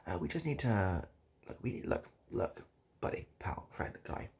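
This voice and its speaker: A man improvising a comic monologue straight to the listener, halting and repeating himself in a mock-earnest, absurd way. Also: low-pitched, big pitch swings, creaky, darkish tone, husky. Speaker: online video host.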